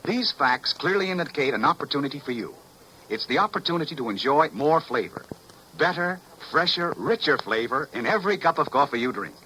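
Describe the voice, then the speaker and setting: An American man reading a 1940s radio advertisement as an emphatic sales pitch. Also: lively intonation, brisk, pushed and bright, poor audio. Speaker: commercial announcer. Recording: old 1940s radio recording, faint noise.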